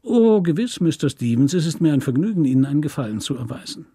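A man's voice: fairly high pitch, sing-song, fast talker, mellow, slightly rough, no echo, clean studio sound, pitched above the performer's own voice. A man voicing a cynical politician, a state governor, condescendingly courteous and emphatic in an audiobook story.

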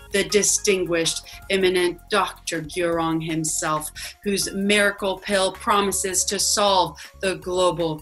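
A young actress playing a polished TV news anchor who reads the news and interviews a guest. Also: female voice, medium pitch, natural voice, low-quality recording. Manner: bright, polished anchor delivery. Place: plain room, webcam.

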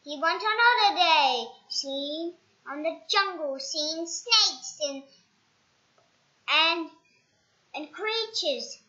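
A young child reading a picture book aloud in a halting, improvised way with stumbles. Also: extremely high pitch, expressive, pushed and bright, lo-fi sound. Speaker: storybook reader. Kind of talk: read-aloud children's storytime.

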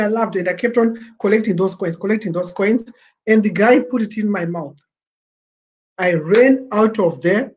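A Zimbabwean man in his forties talking on a webcam livestream, animated and gesturing, slipping Shona words into his English. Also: high voice, muffled recording. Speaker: livestream presenter. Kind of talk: casual livestream pitch. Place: small plain room indoors. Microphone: webcam at desk.

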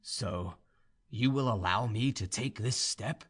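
An ardent young officer from a storybook romance, as a male narrator plays him. He is earnest and deferential, pleading his devotion with widely swinging pitch. He sounds humble and helplessly in love. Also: sing-song, a little slow, a bit bright, breathy edge, full character voice. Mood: humble, devoted. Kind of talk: audiobook character dialogue.

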